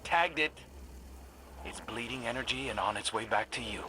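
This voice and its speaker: An actor playing a brooding, dry-witted hunter scout in a video game, with an understated delivery. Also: male voice, mid-range voice, normal speed, background noise, lightly acted. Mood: triumphant. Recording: loud music underneath.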